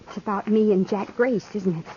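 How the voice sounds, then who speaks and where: A woman's voice: medium pitch, normal speed, warm tone, lightly acted. A woman playing a headstrong seventeen-year-old frontier orphan with an American accent, in 1950s radio western style. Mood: defiant, bitter. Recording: dull, noisy vintage radio recording.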